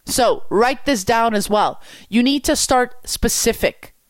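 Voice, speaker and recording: Brief: young woman from Quebec, French native, higher voice, dry close sound, punchy coaching. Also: unhurried, no echo. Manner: energetic, rhetorical questions. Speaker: business coach. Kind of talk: coaching talk to camera.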